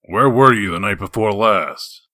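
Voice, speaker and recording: A man voicing a gruff, foul-mouthed wolf detective in human form, blunt and sardonic, in a comic dub. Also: on the low side, a little slow, sharp tone, no echo, studio quality. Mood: angry, accusing.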